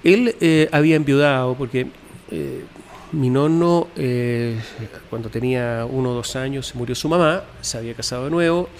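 A man tells his family's story as an interview guest, his voice at an ordinary middle pitch. He talks haltingly, with frequent fillers and restarts. A faint hiss of background noise runs under the recording. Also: in a large hall. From Chile. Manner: hesitant, many fillers and restarts. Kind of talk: telling family history in an interview.

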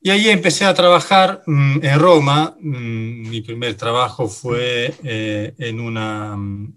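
A man from Italy, a native Italian speaker in his thirties, talking as an interview guest, often pausing and restarting his sentences. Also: mid-range voice, a bit bright. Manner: halting, self-correcting, many fillers and restarts. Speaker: interview guest, finance director. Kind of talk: casual interview talk.

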